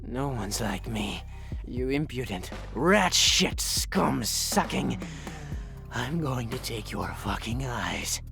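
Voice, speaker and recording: Gravelly, theatrical masked killer. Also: male voice, medium pitch, expressive, slow, over music. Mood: menacing rage. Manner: menacing, theatrical, cursing. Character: masked sadistic male serial killer, foul-mouthed and cowardly. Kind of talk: audio drama acting.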